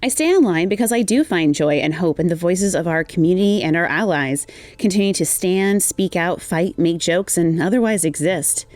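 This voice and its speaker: A woman's voice: fairly low pitch, animated, normal speed, darkish tone, dry recording. A woman reading a personal testimony aloud as a guest contributor. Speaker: guest reader of a written community response.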